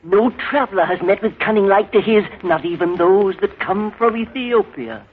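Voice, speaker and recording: A man's voice: sits high, normal speed, slightly rough. A man playing an eager male servant who boasts about his master's cunning, in 1930s radio drama acting. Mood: boastful. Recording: tinny 1930s radio broadcast.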